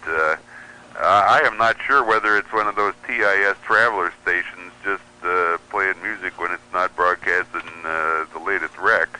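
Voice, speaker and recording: A man's voice: low voice, pushed and bright, rough recording. A man from the United States in a casual interview, explaining things in a discursive way as a hobbyist guest. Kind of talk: casual interview talk about hobby radio.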